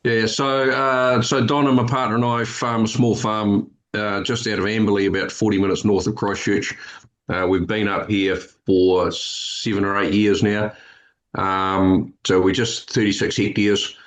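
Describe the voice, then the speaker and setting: Kiwi sheep farmer in his fifties, very low voice, plain and steady, lots of ums. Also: unhurried. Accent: New Zealand. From New Zealand. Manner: steady, matter-of-fact, with frequent ums. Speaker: podcast guest, sheep farmer and scanner. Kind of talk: conversational interview.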